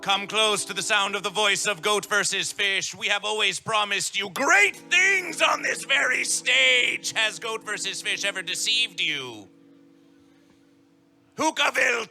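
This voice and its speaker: A man's voice: high voice, gravelly, sharp tone. A man working as a festival master of ceremonies, hyping the event in grandiose, repetitive, theatrical proclamations. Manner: grandiose, chanting, repetitive proclamations in carnival-barker fashion. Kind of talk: theatrical hype emcee announcing to a festival.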